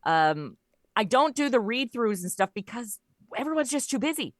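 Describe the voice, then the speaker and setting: A woman's voice: fairly high pitch, very animated, moderate pace, no room sound, studio quality. A woman chatting casually as an interview guest, explaining her creative work in a talkative storytelling way. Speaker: interview guest, audio drama writer and producer.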